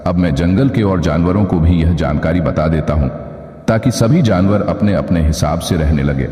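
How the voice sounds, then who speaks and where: Guy doing a deep mellow bear, grave and earnest, cartoon story, echoey. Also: moderate pace, close to an everyday voice. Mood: grave warning. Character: solemn, concerned male bear warning jungle animals. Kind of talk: animated animal story dialogue. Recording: echoey with long reverb.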